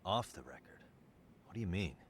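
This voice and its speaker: A game detective with a very low voice and dry, blunt delivery. He cuts through arguments with sardonic calm. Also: normal speed, a little hiss or hum. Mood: matter-of-fact.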